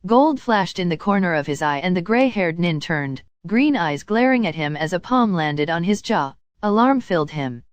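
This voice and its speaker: Lower-pitched woman reading a story, dry sound. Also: animated, normal speed, hardly any pauses, no room sound, dull audio. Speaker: audiobook reader of fan fiction. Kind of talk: scripted story narration.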